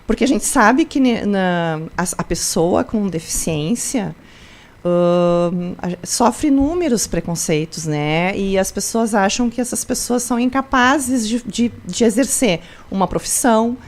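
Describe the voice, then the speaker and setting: A woman's voice: medium pitch, lively intonation, unhurried. A woman from Brazil, a school principal, answering interview questions in an explanatory way, with frequent tag questions and restarts. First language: Portuguese.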